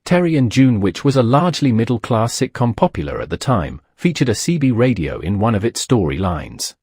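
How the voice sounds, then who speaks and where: A man's voice: medium pitch, big pitch swings, fairly quick, darkish tone, slightly rough, no echo, studio quality. A man narrating a documentary from a script.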